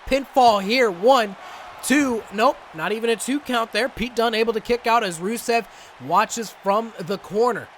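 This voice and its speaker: A young man doing excitable play-by-play commentary over a wrestling video game, coughing and saying he is losing his voice. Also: very high-pitched, measured pace, slight background noise. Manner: excitable, rising to shouts at big moments. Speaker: gaming channel host and commentator.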